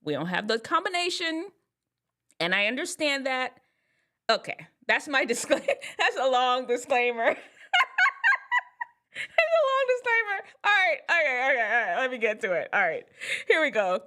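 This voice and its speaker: A woman from Jamaica chatting casually to camera, telling stories and laughing at herself, with big upward swoops when she gets excited. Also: high voice, normal speed, pauses often. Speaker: vlogger.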